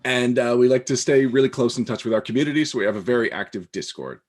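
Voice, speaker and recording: A man's voice: medium pitch, fast talker. A man describing his podcast network with enthusiasm. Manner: enthusiastic, promotional. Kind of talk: casual chat.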